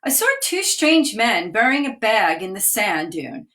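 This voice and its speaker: A woman's voice: medium pitch, big pitch swings, measured pace, a bit bright. A woman voicing a curious, brave young girl from a children's story, speaking with eager urgency.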